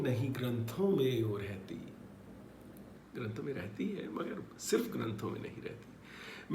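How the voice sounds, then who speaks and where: A man recites his own verse at an unhurried, deliberate pace, repeating lines and moving between loud declaiming and soft asides. His voice sits at an ordinary pitch and rings in an echoey room. A faint background hiss runs underneath. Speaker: poet. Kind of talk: poetry recitation with spoken asides.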